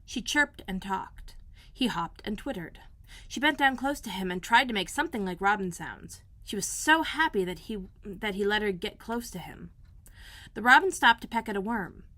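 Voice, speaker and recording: A woman librarian reading a children's book aloud in a gentle, clear storytelling manner. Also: mid-range voice, lively intonation, normal speed. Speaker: school librarian.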